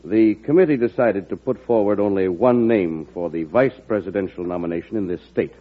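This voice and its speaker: Actor as careful, low-voiced state governor. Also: male voice, brisk, lightly acted. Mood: careful, formal. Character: careful, diplomatic state governor, respectful party politician. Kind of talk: old-time radio drama acting. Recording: muffled lo-fi old radio broadcast.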